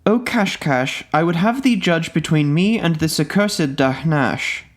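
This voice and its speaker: A man voicing a proud, quarrelsome female jinn spirit in a storybook reading, haughty, sharp and commanding. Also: on the high side, animated, a little slow, pitched above the performer's own voice. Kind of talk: storybook reading of an old tale.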